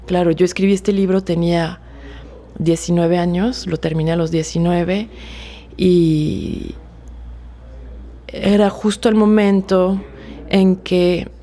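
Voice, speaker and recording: A French woman in her forties, speaking Spanish as a second language, recalls her life as a writer in a halting, reflective way with frequent restarts. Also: on the low side, steady tone. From France. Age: 40s. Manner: soft-spoken, halting with fillers and restarts. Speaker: author interviewee. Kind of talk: reflective personal testimony.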